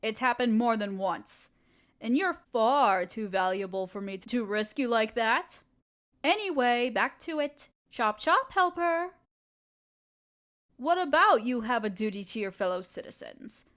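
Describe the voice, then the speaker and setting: A woman's voice: on the high side, animated, measured pace, a bit bright. A woman voicing a ruthless, scheming evil queen in an audio roleplay, speaking in a condescending, sardonic, commanding way. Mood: dismissive. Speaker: voice actor.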